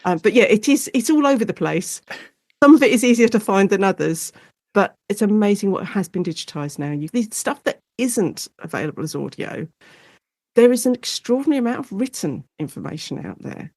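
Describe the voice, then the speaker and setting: A woman's voice: medium pitch, lively intonation, a little fast, darkish tone, no room sound. Chatty, enthusiastic British woman, dry close sound. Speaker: guest academic specialising in audio drama. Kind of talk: conversational interview.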